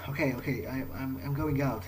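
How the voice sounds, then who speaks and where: A man's voice: mid-range voice, brisk, slight background noise. A man improvising an in-character video game monologue as a brooding vampire, hesitant and often repeating his words. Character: brooding, guilt-ridden young male vampire. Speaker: let's player role-playing in character. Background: video game ambience.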